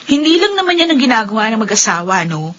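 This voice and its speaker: A teasing young mother, high bright voice, radio drama. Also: big pitch swings, fast talker. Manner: bossy, teasing. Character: young wife and mother, playful. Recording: dull lo-fi radio recording.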